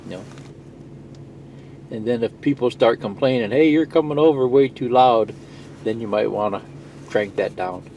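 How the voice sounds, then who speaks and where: A man's voice: medium pitch, normal speed, long pauses, mellow, a little hiss or hum. A man giving a casual, plain-spoken how-to explanation in a conversational way. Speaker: product demonstrator.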